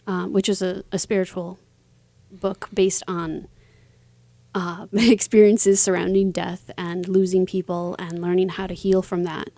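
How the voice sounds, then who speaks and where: A woman's voice: lowish voice, level tone, measured pace, warm tone, no room sound. Woman chatting as an interview guest, dry sound. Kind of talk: casual interview chat.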